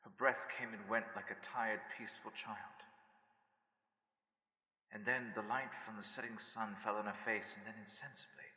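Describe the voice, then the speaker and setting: A man's voice: lowish voice, steady tone, moderate pace, bright, forceful tone. A man playing an earnest doctor who narrates his private journal in a sombre, dramatic gothic horror radio play. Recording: echoey hall with long reverb.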